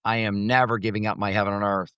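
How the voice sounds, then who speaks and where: A man's voice: lowish voice, little pitch movement, fast talker, fairly bright tone, smooth, no room sound. A man speaking as a motivational coach and host, teaching off the cuff in an emphatic way and repeating phrases for stress. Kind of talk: spontaneous motivational teaching talk.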